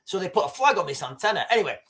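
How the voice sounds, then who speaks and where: A man's voice: high-pitched, very animated, rapid-fire, a bit bright. Rambling man hosting a livestream. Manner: rambling, frequent restarts and swearing. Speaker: livestream host. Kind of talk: casual live commentary and storytelling.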